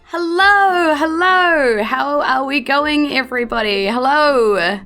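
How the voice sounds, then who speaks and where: A woman's voice: high-pitched, takes it slowly, bright, forceful tone, faint music behind. A woman with a New Zealand accent, hosting and narrating a tabletop game in a playful, informal way. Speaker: dungeon master, host. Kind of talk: chatty game narration, recapping a tabletop adventure.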